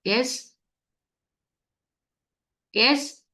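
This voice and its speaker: A woman's voice: high-pitched, drawn-out words, bright, forceful tone. Online class teacher, woman, native Tamil speaker; step-by-step explainer who keeps checking students are following. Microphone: computer mic on video call.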